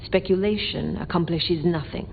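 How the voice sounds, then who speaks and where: A woman's voice: fairly low pitch, moderate pace, creaky, a little hiss or hum. An actress voicing an imperious ancient female vampire in a video game, speaking in a cool, formal, archaic and commanding manner. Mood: grave. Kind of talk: video game dialogue acting. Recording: muffled game audio under music.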